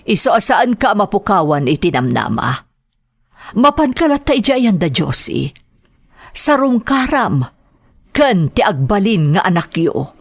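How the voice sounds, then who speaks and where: A woman's voice: medium pitch, very animated, measured pace, long pauses, dry recording, clean studio sound. A woman acting an earnest, persuasive mother of a grown son in an Ilocano radio drama. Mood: concerned, coaxing.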